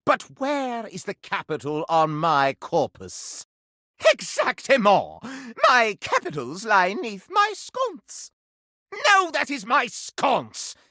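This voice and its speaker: Snooty melodramatic candelabra game character, super theatrical gossip, takes his time, crisp bright sound, really dry close recording. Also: sits high, huge pitch swings, takes it slowly, no echo, exaggerated voice. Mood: haughty, exacting. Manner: pompous, florid, melodramatic declaiming. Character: flamboyant, haughty, scandal-loving living candelabra, theatrical gossip.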